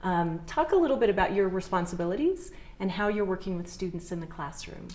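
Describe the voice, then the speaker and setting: Local TV host, woman, lowish voice, sympathetic and encouraging. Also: expressive, fairly quick. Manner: warm, sympathetic questioning. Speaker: interviewer host. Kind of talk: local TV interview. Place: school, indoors.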